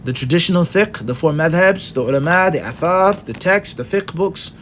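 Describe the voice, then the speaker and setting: A middle-aged man giving a lecture in a fairly high voice, stressing each point in a long list and firing off rhetorical questions. A faint low rumble sits under the recording. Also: expressive, normal speed, fairly bright tone. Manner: emphatic, lists points one by one with rhetorical questions. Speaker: Islamic teacher.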